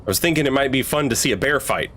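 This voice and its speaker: A man's voice: medium pitch, expressive, rapid-fire, fairly bright tone, a little hiss or hum. A man doing a casual video game playthrough, reading his dialogue choices aloud.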